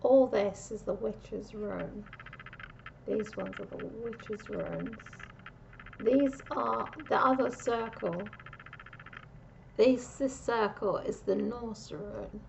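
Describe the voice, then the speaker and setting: A woman giving a casual, meandering psychic reading, pausing and restarting often, on a dull lo-fi recording. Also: on the high side, vocal fry, darkish tone, a little hiss or hum. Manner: meandering, with frequent restarts and pauses. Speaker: psychic reader.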